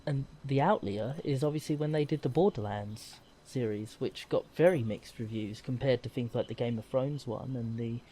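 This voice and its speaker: A man's voice: mid-range voice, normal speed, few pauses, warm tone, slight background noise. A man co-hosting a casual gaming chat, talking in a rambling, emphatic way and often correcting himself. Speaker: podcast co-host. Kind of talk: casual chat about video games.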